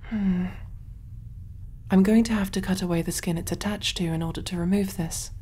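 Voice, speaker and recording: A woman playing a kind, motherly alien surgeon in a soft-spoken ASMR roleplay, gentle and reassuring, breathy and often whispery, musing aloud as she works. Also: lowish voice, steady tone, normal speed. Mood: concerned, puzzled.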